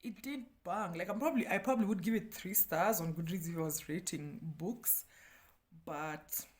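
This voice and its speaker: She is a Kenyan-accented woman in her thirties with a somewhat low voice. She reviews books in a casual, chatty way, animated and frank. Her delivery is self-deprecating and sprinkled with playful asides. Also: normal speed. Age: 30s. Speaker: book reviewer and host.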